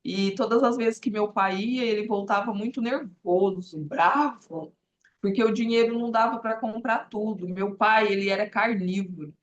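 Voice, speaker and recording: A woman's voice: mid-range voice, moderate pace, dull audio. A woman in her forties talking on a live video call. She explains earnestly, with an ordinary mid-range voice and lots of small fillers. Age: 40s. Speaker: therapist guest. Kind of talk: casual live-stream talk.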